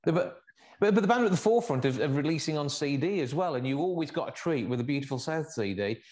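A man's voice: on the high side, lively intonation, fast talker, a little mellow. A man hosting a radio show, chatting with guests and plugging upcoming gigs in an upbeat way. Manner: upbeat, plugs events and phone numbers. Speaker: radio host. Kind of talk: radio chat and promotion.

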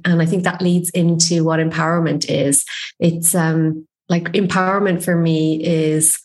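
Irish woman, podcast guest, enthusiastic, slightly low pitch. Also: darkish tone. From Ireland. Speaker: guest, feng shui teacher. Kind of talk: interview conversation.

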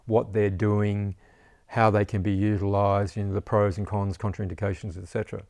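Male herbalist, low gravelly voice, dry close mic, rambling casual talker. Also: level tone, normal speed, a little mellow, dry recording. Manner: rambling, repeats words, says "you know" often. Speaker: herbalist teacher. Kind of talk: casual interview chat.

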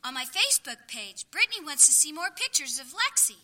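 A woman's voice: high-pitched, big pitch swings, a little fast, sharp tone, exaggerated voice. A woman playing a chatty, upbeat young daughter in a live comic radio play. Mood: eager. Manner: chatty, youthful. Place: live stage performance at an audio theatre festival.

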